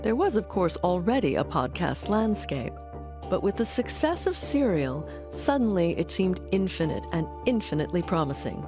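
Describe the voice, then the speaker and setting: Low-voiced woman narrating over soft music. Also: big pitch swings, moderate pace. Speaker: documentary narrator. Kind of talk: scripted narration.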